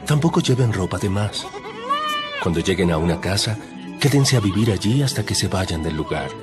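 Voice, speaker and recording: A man playing Jesus as a calm, authoritative teacher in an audio Bible drama, instructing deliberately. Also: sits low. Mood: firm instruction. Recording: loud background music. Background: music.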